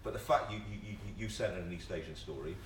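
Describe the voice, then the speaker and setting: A man's voice: low-pitched, racing. A British man speaking off the cuff on a panel, emphatic and colloquial, often stammering over repeated words as he makes his case. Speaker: panellist, theatre writer and director. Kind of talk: impassioned unscripted panel talk. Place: indoor panel event at a theatre. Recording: roomy, distant mic, background noise.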